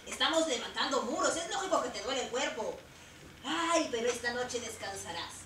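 A woman's voice: fairly high pitch, very animated, normal speed, a bit bright. A woman voicing a cheerful, earnest young male bricklayer puppet in an upbeat, exaggerated live puppet show. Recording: echoey open shed, distant mic, noisy.

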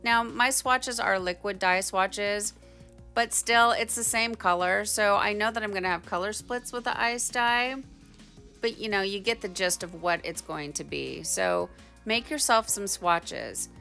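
A woman's voice: mid-range voice, a little slow, vocal fry, pushed and bright, faint music behind. A woman giving a chatty, informal instructional voice-over as a craft tutorial host. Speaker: tie-dye tutorial host.

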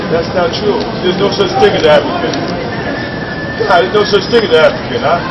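A man's voice: high-pitched, normal speed. A middle-aged man preaching on a city sidewalk, pressing questions and repeating himself insistently. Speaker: street preacher. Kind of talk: street preaching. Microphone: far camera. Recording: distant voice, loud street noise. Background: traffic and crowd.

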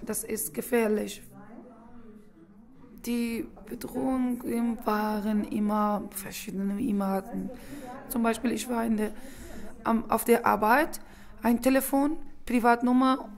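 A woman's voice: fairly high pitch, darkish tone. Woman speaking hesitant, German. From Afghanistan. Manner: halting non-native German with frequent hesitations. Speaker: journalist interviewee. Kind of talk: documentary interview.

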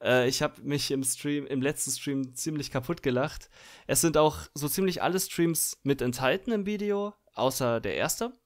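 A man's voice: on the high side, normal speed. A man hosting a live stream in casual, jokey chat.

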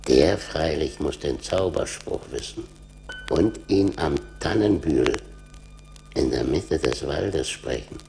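An actor playing a solemn teller of forest legends in a 1980s radio play, deliberate and mysterious. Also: male voice, extremely low pitch, expressive, gravelly, dark tone, faint music behind, heavily acted.

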